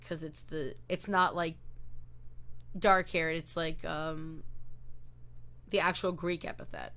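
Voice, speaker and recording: A woman's voice: low-pitched, level tone, takes it slowly, a bit bright. A woman hosting an online book club, talking in a rambling, chatty way with lots of fillers and restarts over a video call.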